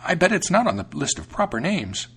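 A man's voice: sing-song, a little fast, fairly bright tone, husky, exaggerated voice. A man voicing a small, trusting female storybook mouse, inquisitive and emphatic, full of wonder. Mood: astonished, suspicious. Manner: high-lifted, emphatic, wondering delivery. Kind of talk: storybook character voice.

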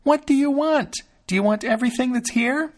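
Brief: thumb-sized cheeky boy, very high squeaky voice. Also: big pitch swings, moderate pace, vocal fry, heavily acted. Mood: shouting cheekily. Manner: squeaky, shouting.

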